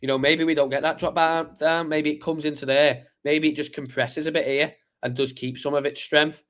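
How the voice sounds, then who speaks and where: Chatty man with a croaky voice. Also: on the high side, level tone, moderate pace, fairly bright tone. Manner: chatty, rambling, many fillers and restarts. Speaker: crypto livestream host. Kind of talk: casual live chart analysis.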